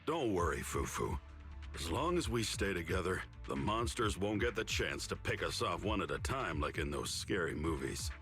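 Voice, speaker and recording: A man's voice: sits low, big pitch swings, moderate pace, exaggerated voice. A man playing a confident, teasing young fighter in a video game, with a theatrical delivery. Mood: teasing, soothing. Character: playful, confident young male fighter, teasing junior teammate. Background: loud video game music.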